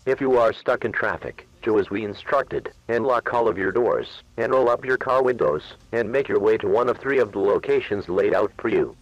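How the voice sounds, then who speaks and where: A man playing a grave, authoritative emergency alert announcer, reading formal scripted instructions in a husky voice over dull broadcast audio. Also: on the low side, normal speed, few pauses, no room sound. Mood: grave, urgent.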